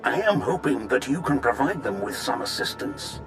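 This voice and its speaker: A man playing a deferential, flattering imperial fleet officer in a sci-fi game, courtly, formal and deliberate. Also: mid-range voice, lightly acted, rough recording. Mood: concerned, formal. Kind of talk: scripted video game dialogue. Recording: music behind the voice.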